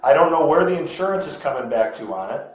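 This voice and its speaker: A man's voice: fairly high pitch, lively intonation, fast. An American man working as a police officer, questioning and explaining in a matter-of-fact way, often repeating and rephrasing himself. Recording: very echoey body camera audio.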